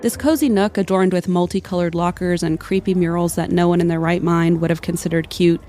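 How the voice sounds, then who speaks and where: A woman's voice: on the low side, steady tone, brisk, dark tone, a little hiss or hum. A woman narrating a scripted comedic tale in a mock-dramatic, literary manner. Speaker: podcast storyteller. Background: music under the voice.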